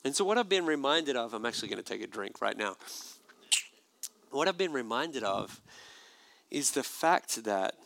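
A man preaching a sermon as a pastor, conversational and animated, with asides to the congregation. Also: medium pitch, normal speed, gravelly, long pauses.

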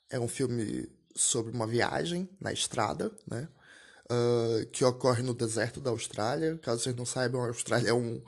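A man's voice: mid-range voice, darkish tone. A young man hosting a casual solo podcast, chatting loosely about a film with frequent asides, restarts and small laughs at himself. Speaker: podcast host.

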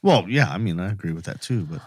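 A man's voice: low-pitched, expressive, fast talker, slightly rough, no echo, studio quality. A man co-hosting a true crime podcast, talking casually and conversationally. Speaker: podcast co-host.

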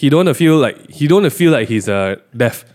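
A man's voice: medium pitch, expressive, quick pace, darkish tone. A man with a Malaysian English accent chatting and telling stories casually, with colloquial particles and clipped grammar. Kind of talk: casual storytelling chat.

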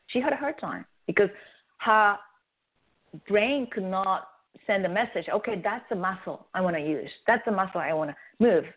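A woman's voice: medium pitch, moderate pace. A woman from Japan with a Japanese accent, a guest explaining her method earnestly in a radio interview. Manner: earnest, animated explaining with restarts. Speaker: guest, face yoga creator. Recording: tinny phone line.

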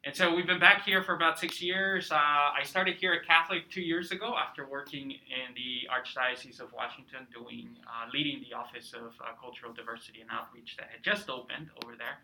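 A man from Peru in his thirties, chatting as a podcast guest, telling stories warmly with lots of fillers. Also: medium pitch, fairly quick, hardly any pauses, rough recording. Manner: warm storytelling with many fillers. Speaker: guest, cultural center director. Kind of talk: casual interview chat.

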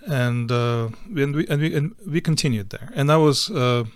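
A middle-aged man from Ukraine, a guest telling his story in a casual interview, halting, with many fillers and restarts. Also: mid-range voice, clean tone, dry recording, clean studio sound.

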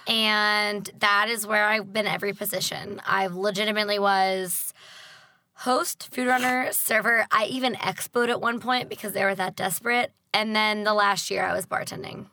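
A young woman in her twenties telling stories in a relaxed casual chat, laughing often. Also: medium pitch, moderate pace, no echo. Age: 20s. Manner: easygoing storyteller, laughs often. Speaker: guest.

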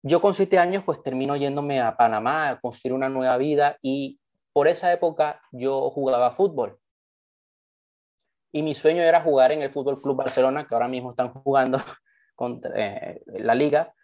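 A man's voice: medium pitch, moderate pace, a little mellow. Young guy from venezuela giving an online training, eager and heartfelt, video call mic. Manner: earnest, enthusiastic storytelling. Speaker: motivational trainer.

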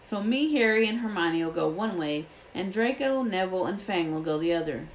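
A woman voicing a gruff but kindly giant male gamekeeper from a storybook, protective and cautious, with rustic, colloquial speech. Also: lowish voice, animated, a little fast, slight background noise, close to an everyday voice, poor audio. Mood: brisk, commanding. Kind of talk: storybook character voice.

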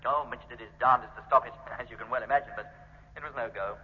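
An actor playing a calm, decisive rocket captain with clipped speech in a 1950s radio serial. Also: male voice, medium pitch, fast, husky, close to an everyday voice. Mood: composed. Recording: muffled vintage radio, long reverb.

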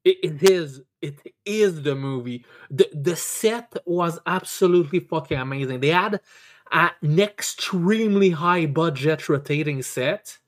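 A casual podcast host with a slow, halting delivery. Words get repeated and stammered as the host enthuses, with emphatic, excitable swearing thrown in. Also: mid-range voice. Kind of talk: casual chat, enthusiastic review.

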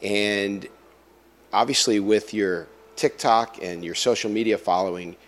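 Deep-voiced man hosting an interview. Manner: halting, many restarts and fillers. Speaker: interviewer host. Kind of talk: conversational interview.

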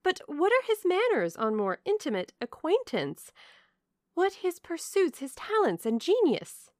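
Woman acting an ardent, high-pitched young lady. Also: huge pitch swings, measured pace, no room sound, studio quality, full character voice. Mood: indignant, eager. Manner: eager, breathlessly emphatic.

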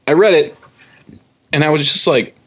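A young man co-hosting a comic book podcast, rambling in casual chat with many fillers and quick laughs. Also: fairly high pitch. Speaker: podcast co-host.

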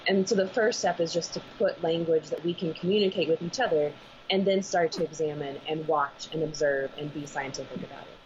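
A woman's voice: deep voice, brisk, a crowd in the background. Woman vet on a muffled video call. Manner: earnest, thinks aloud with restarts. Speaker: veterinarian and animal massage school director. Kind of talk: remote interview guest. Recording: muffled video call audio with faint noise.

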